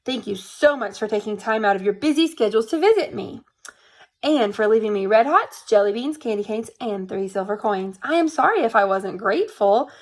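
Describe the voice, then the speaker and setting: A woman's voice: on the high side, animated, a little fast. A woman voicing an eager, dramatic little storybook girl with an exclaiming, emphatic delivery. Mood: grateful, sweet.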